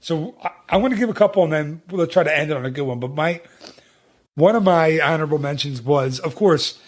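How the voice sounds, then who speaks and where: A man's voice: fairly high pitch, a little fast. A man hosting a podcast in casual chat, talking in a chatty, rambling way with many restarts. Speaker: podcast host.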